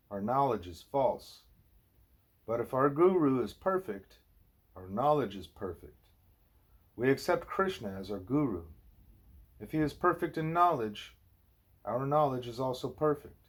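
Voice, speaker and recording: A man reading a scripted spiritual dialogue as an audiobook narrator, with emphatic, deliberate phrasing. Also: mid-range voice, husky. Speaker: audiobook reader.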